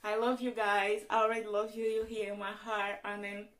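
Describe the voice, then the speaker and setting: A young woman whose first language is Portuguese, telling a personal story in a vlog in an earnest, halting way, often restarting her sentences. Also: medium pitch, flat delivery, fairly bright tone, a little hiss or hum. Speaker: vlogger.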